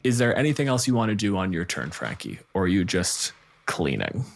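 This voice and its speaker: A man's voice: sits low, very animated, brisk, gravelly. A man running a tabletop roleplaying game as game master, narrating in a casual, dryly wry way.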